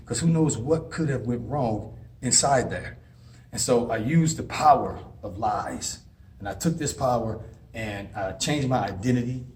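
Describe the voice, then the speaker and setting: Earnest man, mid-pitched, talking advice straight to camera, restarts a lot, faint background hum. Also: a little mellow. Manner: earnest, rambling, frequent restarts. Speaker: advice vlogger and former inmate. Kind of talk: casual advice monologue with personal stories.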